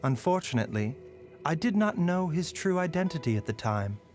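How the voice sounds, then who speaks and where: An actor playing a polite, gently wry and weary pharmacist in a video game, with measured, mildly teasing phrasing. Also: male voice, fairly high pitch, sing-song. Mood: measured, courteous. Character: soft-spoken, courteous male pharmacist, gently wry and weary. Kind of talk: video game character voicelines. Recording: voice over background music.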